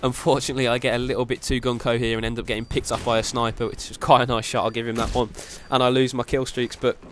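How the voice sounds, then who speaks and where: A man's voice: mid-range voice, a little hiss or hum. A young man with a cold, sounding nasal, commentating over gameplay and giving tips, often saying "very, very". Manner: quick, even delivery with repeated "very, very". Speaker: gaming commentator. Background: game gunfire and explosions.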